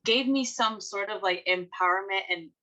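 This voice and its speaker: A woman fan asking the cast a question over a video call, excited and a little nervous. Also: medium pitch, moderate pace.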